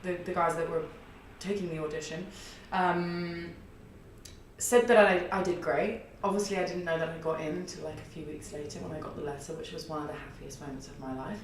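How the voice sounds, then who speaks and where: A young woman with a husky, low voice, chatting as a podcast guest and rambling through stories with frequent laughs. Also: fairly even intonation, fairly quick. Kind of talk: casual interview chat. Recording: echoey room, distant mic, faint noise.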